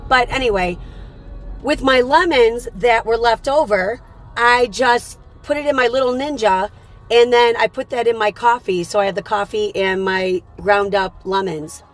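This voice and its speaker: Upbeat woman with a slightly raspy voice. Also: on the high side, a bit bright. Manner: upbeat, chatty, greets viewers by name. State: fighting off a cold. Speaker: livestream host. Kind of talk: casual morning livestream chat.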